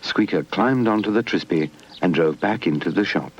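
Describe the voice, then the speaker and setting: A man's voice: deep voice, moderate pace, slight background noise. A man with a British accent narrating a scripted children's story. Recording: muffled old VHS tape, music underneath.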